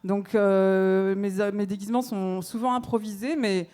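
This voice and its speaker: A woman's voice: mid-range voice, level tone, measured pace, mellow. Chatty Belgian-raised woman in her thirties. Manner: chatty, laughs often, many fillers. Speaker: dating show contestant. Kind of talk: casual chat.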